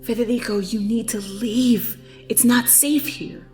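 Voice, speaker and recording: A woman playing an anxious, protective spy in a wartime audio drama, with an earnest, urgent, hushed delivery. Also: on the high side, steady tone, a little slow, lightly acted. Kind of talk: dramatized audio story acting. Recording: roomy echo over background music.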